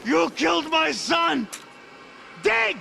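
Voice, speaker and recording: Gravelly, vengeful crime boss. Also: male voice, extremely high pitch, sing-song, a little hiss or hum, heavily acted. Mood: raging. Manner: slow, menacing, foul-mouthed. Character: vengeful, grief-maddened father, a menacing crime boss. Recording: loud game music behind.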